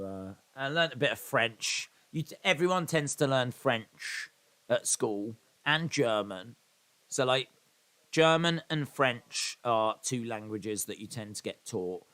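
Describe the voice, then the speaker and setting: A man's voice: mid-range voice, unhurried, pushed and bright. Chatty, rambling man hosting a watch-along. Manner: rambling, chatty, self-deprecating asides. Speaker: live stream host. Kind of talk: casual watch-along chat reading viewer comments.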